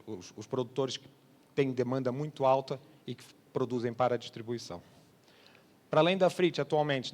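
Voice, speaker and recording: A man with a medium-pitched voice and a Brazilian accent carrying a Portuguese tinge. He presents at a business conference in a conversational, explanatory way, often adding small tags to check that listeners follow. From Brazil (born Rio de Janeiro) and Portugal. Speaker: sales manager giving a conference talk. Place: trade congress presentation space.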